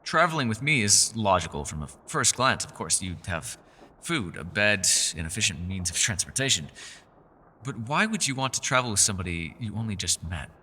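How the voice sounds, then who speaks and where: A man's voice: fairly low pitch, sing-song, normal speed, pushed and bright, slightly rough, long reverb tail, heavily acted. A man playing a mysterious, scholarly elf in a fantasy roleplay, with a refined, theatrical and teasing manner. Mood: surprised, curious. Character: mysterious, scholarly male elf, playful yet guarded. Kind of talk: fantasy ASMR roleplay, scripted character acting.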